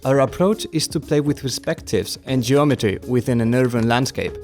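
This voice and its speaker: A man's voice: medium pitch, lively intonation, normal speed, darkish tone, faint music behind. A man presenting and reading scripted narration for a travel documentary. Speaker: presenter, architect and photographer.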